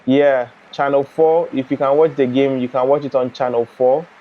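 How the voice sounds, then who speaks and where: A young man from Ghana hosting a live football watch-along, chatting and reading out viewer comments in a relaxed way. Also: mid-range voice, moderate pace, dull audio. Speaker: live stream host.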